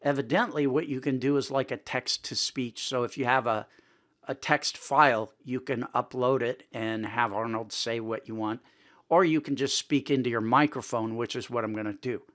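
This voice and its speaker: A man's voice: medium pitch, steady tone, moderate pace, a bit bright. A man presenting a casual tutorial in a conversational way, thinking aloud as he goes. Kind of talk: casual screen-recording tutorial talk.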